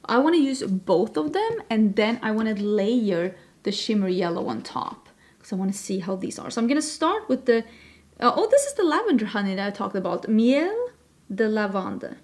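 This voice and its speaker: A woman's voice: medium pitch, big pitch swings, normal speed, a little mellow. A young woman from Sweden, chatting casually and self-deprecatingly while she does her makeup on camera. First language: Swedish. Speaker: beauty vlogger. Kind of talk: makeup tutorial chat.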